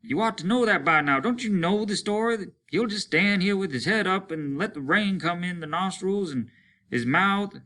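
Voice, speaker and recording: A man playing a hardened soldier at the end of his rope in a storybook reading. The voice is raised and strained, with a bright, hard edge. He speaks bluntly, with bitter fatalism. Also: sits high, expressive, normal speed, voice pushed up. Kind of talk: dramatic storybook character reading.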